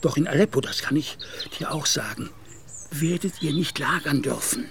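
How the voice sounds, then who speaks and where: A fearful, whispering gendarme. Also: male voice, on the high side, normal speed. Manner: fearful, pleading, often whispering. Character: anxious Turkish gendarme officer, middle-aged man. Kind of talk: radio play acting. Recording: radio play with clear background noise.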